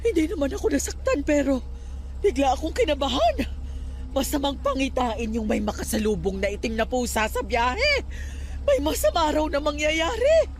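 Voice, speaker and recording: A woman's voice: high voice, very animated, moderate pace. A woman playing a devout, superstitious aunt in a Filipino radio drama, fretful and scolding. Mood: anxious. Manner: fretful, chiding.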